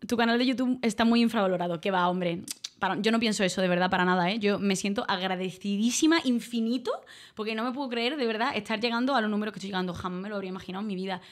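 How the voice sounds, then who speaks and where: A woman from Málaga, a streamer and voice-over artist, chatting casually with her viewers, grateful and quick to laugh. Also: mid-range voice. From Málaga, Spain. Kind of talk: casual livestream chat reading donations.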